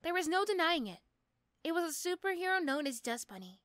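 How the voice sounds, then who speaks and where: A woman's voice: very high-pitched, very animated, fairly quick, a bit bright, dry recording, studio quality. A woman narrating a scripted second-person roleplay story.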